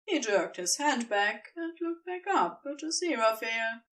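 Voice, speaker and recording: A woman's voice: fairly high pitch, very animated, a little slow, a bit bright, distant mic. A woman reading scripted story narration as the narrator of an audio fiction piece.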